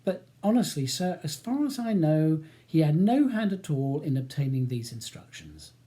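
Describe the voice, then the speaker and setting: A deferential male clerk in an audiobook, voiced by the narrator, reasonable and respectful. He speaks in a mellow, measured tone and stands his ground politely. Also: mid-range voice, sing-song, lightly acted.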